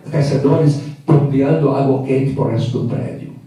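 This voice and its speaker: Older guy w telling his story, dark mellow voice, far off in a big echoey room. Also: mid-range voice, steady tone, soft background music. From Hungary. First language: Hungarian. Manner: reflective, halting with fillers. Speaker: guest speaker. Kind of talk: personal storytelling talk. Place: event hall. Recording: echoey room from a distant mic.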